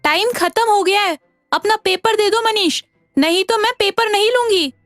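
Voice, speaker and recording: A woman voicing a stern, sharp female school teacher who questions and scolds, in an animated story. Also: very high-pitched, normal speed, dry recording, clean studio sound, soft background music, pitched above the performer's own voice.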